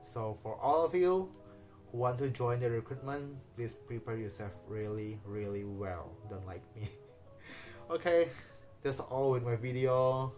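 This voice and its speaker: A man whose first language is Indonesian, talking casually in halting English with many fillers, restarts and small nervous laughs. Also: medium pitch, darkish tone, soft background music. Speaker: vlogger. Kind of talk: casual personal vlog talk.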